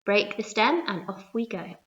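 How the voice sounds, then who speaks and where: A woman voicing a kind, gentle female ladybird in a storybook reading. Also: mid-range voice, animated, moderate pace, lo-fi sound, natural voice. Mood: explaining. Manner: gentle, motherly.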